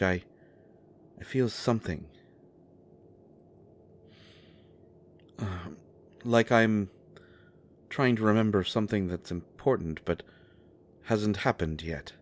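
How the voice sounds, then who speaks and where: A man playing a pompous, eccentric oddball who poses as human, with a grandiose, halting, theatrical delivery in a fantasy audio drama. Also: on the low side. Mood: dazed.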